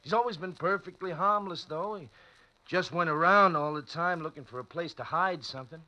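A man playing a calm hospital attendant explaining a patient's escape, in a 1940s radio drama. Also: fairly high pitch, moderate pace, low-quality recording. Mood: matter-of-fact. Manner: plain, matter-of-fact.

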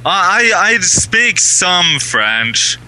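A man's voice: sits high, big pitch swings, extremely slow, bright, forceful tone. A man from southwestern Ontario calling into a show, hesitant with lots of ums, his voice a little tinny. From southwestern Ontario, Canada. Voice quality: slightly tinny, processed sound. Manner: hesitant with many ums, lavish praise. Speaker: caller. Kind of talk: casual call-in chat.

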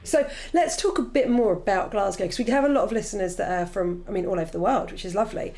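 A woman's voice: medium pitch, quick pace, warm tone. Friendly woman host asking questions. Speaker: podcast host. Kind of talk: casual interview chat.

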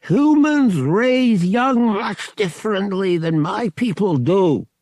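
A man voicing a big alien cat-like bodyguard, gruffly friendly, who growls out slow broken English in a storybook reading. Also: high-pitched, animated, a little mellow, no echo, clean studio sound, voice pushed up. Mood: curious, earnest.

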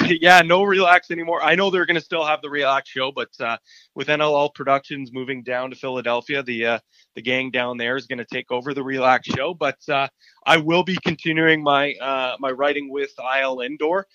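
Raspy bright-voiced male guest. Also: medium pitch, fairly even intonation, fairly quick, no room sound, lo-fi sound. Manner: easygoing, laughs often. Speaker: guest columnist. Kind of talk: casual interview answers.

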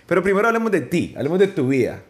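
A man with a Colombian Spanish accent hosts a relaxed faith podcast. He jokes and rambles and often restarts his sentences. His voice sits a little high for a man. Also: racing. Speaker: podcast host. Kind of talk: casual faith podcast interview.

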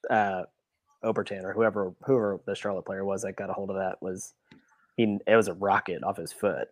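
A man with a fairly low voice, recorded dry with no room sound. He talks casually about sports on a podcast, offering measured takes and qualifying them as he goes. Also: fairly even intonation, no room sound.

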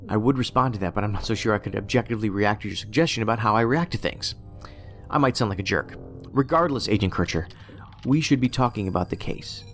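An actor playing a pedantic, socially awkward federal investigator in a science fiction audio drama, speaking precisely and formally with a detached, musing manner. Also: male voice, fairly low pitch, fast, fairly bright tone. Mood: dryly defensive. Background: music under the voice.

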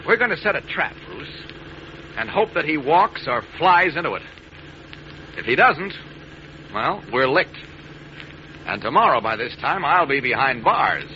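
A man's voice: on the high side, very animated, a little slow, pauses often, pushed and bright, full character voice. A man acting an earnest young reporter with a secret identity in a 1940s radio drama. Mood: grave, determined. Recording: muffled noisy vintage radio broadcast.

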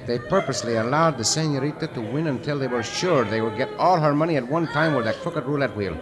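A man playing a gallant, calm caballero hero in an old radio drama, speaking formal English with Spanish words. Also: mid-range voice, quick pace, natural voice. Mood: determined. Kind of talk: 1950s radio drama acting. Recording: noisy old radio recording.

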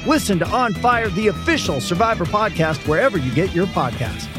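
A man's voice: high voice, expressive, brisk, music in the background. A man reading a scripted podcast promo in an upbeat announcer style.

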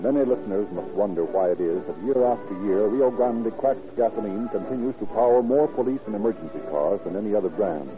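A man's voice: lowish voice, a little fast, few pauses, loud music behind the voice. A man announcing and narrating in a polished, formal 1930s radio style from a script. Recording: muffled vintage radio recording.

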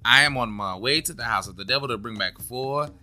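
A man's voice: mid-range voice, a little fast, bright, forceful tone, pitched above the performer's own voice. Earnest, dutiful storybook boy, voiced by a male storyteller, faint music behind. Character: weary, polite young boy on a quest.

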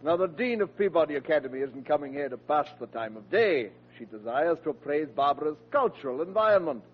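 An actor playing a pompous freeloading uncle who poses as a scholar, with grandiose, formal, condescending delivery in a 1940s radio sitcom. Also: male voice, a little high, sing-song, fairly quick, husky. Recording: muffled old radio broadcast with hum.

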